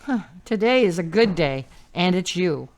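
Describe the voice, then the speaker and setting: Woman playing tired big sister in an audio drama, dry teasing, kinda low voice. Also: animated, moderate pace, a little mellow. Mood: dry, weary. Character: weary, dryly teasing big sister caregiver.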